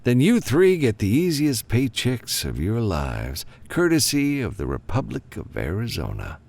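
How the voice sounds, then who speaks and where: A man's voice: mid-range voice, huge pitch swings, unhurried, creaky, a little mellow, pitched above the performer's own voice, full character voice. A man voicing a grim, commanding government lawman in an audiobook, giving a frank, businesslike briefing. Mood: frank, uneasy.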